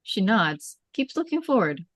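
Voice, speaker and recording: A woman's voice: mid-range voice, big pitch swings, a little slow, no echo, studio quality. A woman reading screenplay stage directions as scripted narration, in short clipped storytelling phrases.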